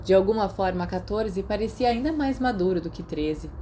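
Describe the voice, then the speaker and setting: A woman's voice: medium pitch, animated, normal speed, mellow, a little hiss or hum. A woman with a Brazilian accent reading a story as a scripted narration. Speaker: audiobook narrator.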